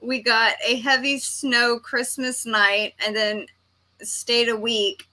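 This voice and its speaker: A woman hosts a casual livestream. Her voice is bright and slightly high, and she takes her time as she chats and reads out comments. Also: level tone, slow. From Texas, United States. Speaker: livestream host. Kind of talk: casual chat, reading viewers' comments aloud.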